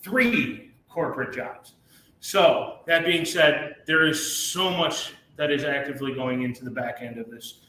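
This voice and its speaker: A man's voice: fairly high pitch, unhurried, bright, forceful tone, a little hiss or hum. A man in his thirties hosting a live community video call, talking emphatically, tagging lines with "okay?" and swearing freely. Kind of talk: hyped live-stream pep talk. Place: large office meeting room. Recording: echoey room.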